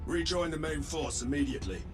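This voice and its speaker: A man's voice: on the high side, lively intonation, a little fast, lightly acted. A man playing an imperious space marine officer in a video game, clipped and authoritative, with music behind him. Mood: stern, threatening. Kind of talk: dramatic video game acting. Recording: voice under cinematic game soundtrack.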